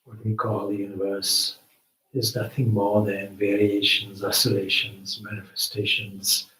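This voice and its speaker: A man guides a meditation in a fairly low voice. He speaks very slowly and deliberately, leaving long pauses between short phrases. The sound is echoey, picked up at some distance from the microphone. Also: completely flat delivery, pushed and bright. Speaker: spiritual teacher leading meditation. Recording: roomy echo, distant microphone.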